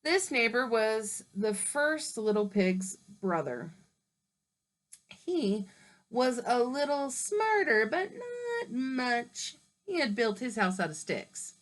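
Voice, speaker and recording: A woman reading as a smooth-talking storybook wolf. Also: a little high, big pitch swings, takes it slowly. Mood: persuasive. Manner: chatty, persuasive storyteller. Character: smooth-talking male wolf telling his side.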